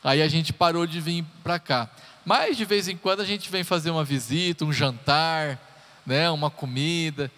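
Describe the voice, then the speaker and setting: A man's voice: a little high, moderate pace, fairly bright tone. A man in his thirties, a pastor giving a chatty, joking sermon introduction with personal stories to his congregation. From Brazil. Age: 30s. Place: church hall. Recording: live church hall reverb.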